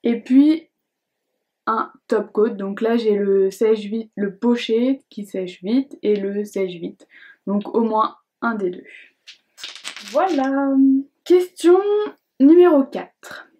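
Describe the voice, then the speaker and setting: A woman's voice: on the high side, slow, long pauses. A young adult woman chatting casually to camera as a beauty vlogger, hesitant and chatty, with many fillers and restarts. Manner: reads questions aloud in a brighter voice.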